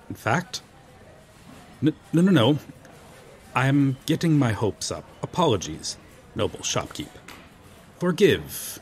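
A man acts out an earnest, noble medieval knight, befuddled but unfailingly courteous. His delivery is theatrical and very animated in pitch, yet unhurried, with archaic formal wording and hesitant pauses. It is an audio roleplay with noticeable background noise. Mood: wary, solemn, then humble, earnest. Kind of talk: ASMR roleplay audio drama, addressing the listener.

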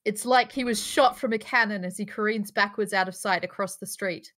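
A woman's voice: mid-range voice, a little fast, fairly bright tone. A woman reading stage directions as the narrator of a live online table read. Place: home room, online video call.

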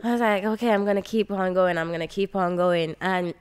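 A woman's voice: medium pitch, fairly even intonation, a little fast, clear, resonant tone. A young adult woman with a Jamaican accent, telling stories casually and expressively as a podcast guest on a close mic. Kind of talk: casual conversational storytelling. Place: bright indoor open-plan room on a sofa. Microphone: close podcast mic on boom arm.

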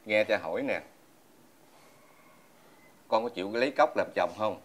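A man's voice: fairly low pitch, animated, unhurried. A man playing a troubled, apologetic father in a fairy-tale television drama, earnest and hesitant, with a Southern Vietnamese accent.

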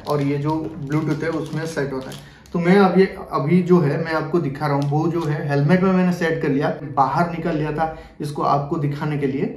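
A man's voice: fairly high pitch, a little mellow, a little hiss or hum. A young man giving a casual, repetitive hands-on product demonstration to camera, mixing in English words. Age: young adult. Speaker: product review vlogger. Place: small bedroom, indoors. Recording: roomy echo.

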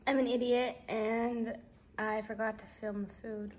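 A woman's voice: fairly high pitch, fairly even intonation, takes it slowly, very noisy. A young woman in her twenties chatting casually into a handheld phone camera for a vlog, playful and giggly. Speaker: vlogger.

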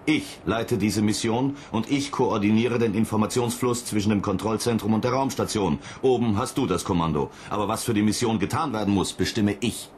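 An actor playing a gruff, brusque, domineering male space mission chief in a radio play. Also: fairly low pitch, fairly quick, few pauses, a little mellow, slight background noise, natural voice. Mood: irritated, commanding. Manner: brusque, authoritarian. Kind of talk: German radio play acting.

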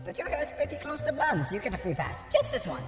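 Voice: sits high, big pitch swings, fast. A performer voicing a smug, flamboyant demonic dictator ghost in a parody cartoon dub. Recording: roomy long reverb under loud music.

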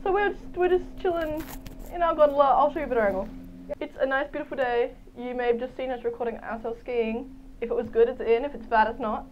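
A young woman chatting casually into her phone camera while skiing and riding a gondola, excitable and full of fillers. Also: high voice, fast, dull audio. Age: young adult. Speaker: vlogger. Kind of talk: casual travel vlog chat. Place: snowy ski slopes and gondola, outdoors. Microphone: phone camera held at arm's length. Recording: loud wind and outdoor noise.

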